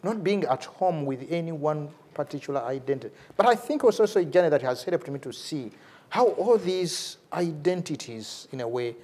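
A middle-aged man with a Ugandan accent, speaking off the cuff as a lecturer, animated and repeating words for emphasis. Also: a little high, normal speed. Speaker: lecturer, theology professor and priest. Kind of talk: lecture with off-the-cuff answer to a question. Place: large university lecture hall, at a lectern.

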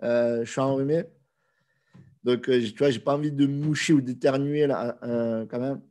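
A man's voice: mid-range voice, moderate pace. Man with a cold hosting a live Q&A. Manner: relaxed, conversational, with frequent hesitations. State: has a cold. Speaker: host answering viewer questions. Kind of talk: casual live Q&A. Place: small indoor room. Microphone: desk microphone on video call.

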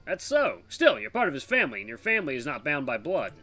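A man's voice: medium pitch, huge pitch swings, fast, sharp tone, soft background music, voice pushed up. Man putting on a chatty British tiger in his fifties. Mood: hearty. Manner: folksy, hearty, calls people lad and mate. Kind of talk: visual novel character voice.

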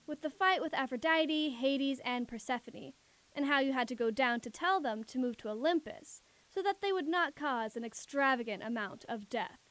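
A woman's voice: sits high, expressive, normal speed, a bit bright. A woman playing a storyteller in an audio drama, recapping events in a conversational way. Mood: chatty.

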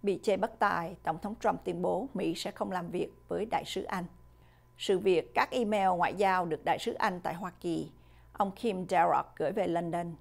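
A middle-aged woman reading the news as a studio anchor, in a steady, formal delivery. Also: lowish voice, normal speed, vocal fry. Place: studio with a virtual backdrop.

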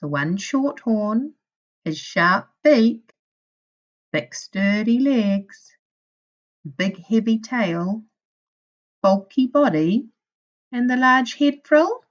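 Slow, expressive woman reading to children. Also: on the high side, highs cut off. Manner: clear, deliberate, addressing young children. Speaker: primary school teacher. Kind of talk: children's storybook read-aloud.

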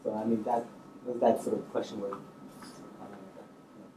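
Mellow, muffled-toned man thinking aloud. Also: on the low side, little pitch movement, a little slow. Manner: informal, hesitant, thinking aloud. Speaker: screenwriter on a panel. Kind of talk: casual spoken answers at a public talk. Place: indoor stage in an auditorium, seated. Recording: roomy, distant miking, faint noise.

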